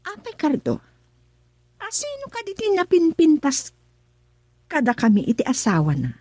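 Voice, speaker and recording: An actress playing a brazen, teasing young mistress in Ilocano radio drama, with a Filipino accent. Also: female voice, high voice, wildly swooping pitch, normal speed, warm tone, no echo. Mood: boastful, coy.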